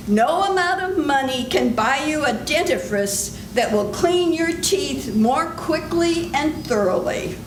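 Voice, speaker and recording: A woman reading a 1940s-style radio announcement and commercial, with emphatic, persuasive delivery. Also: sits high. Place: senior center stage. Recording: echoey hall with noise.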